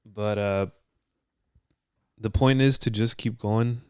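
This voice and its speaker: A man in casual podcast chat, relaxed, mostly backing up his co-host with short agreeing words before wrapping up. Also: on the low side, slow pace, clear, resonant tone, no echo, clean studio sound. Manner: relaxed, brief agreeing interjections.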